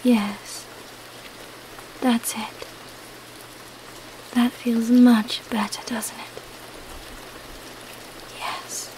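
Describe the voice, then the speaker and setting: A woman playing a seductive, commanding vampire in an ASMR roleplay, speaking softly and breathily, often in a whisper, with a coaxing, deliberate, hypnotic manner. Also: a little high, a little hiss or hum, poor audio. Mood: soothing, coaxing.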